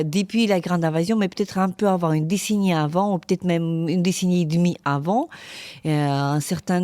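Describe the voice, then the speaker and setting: A woman's voice: on the low side, mellow, dry recording. A woman hosts a podcast interview in a conversational way. She builds her phrases thoughtfully, piece by piece. The recording is dry, with hardly any room sound.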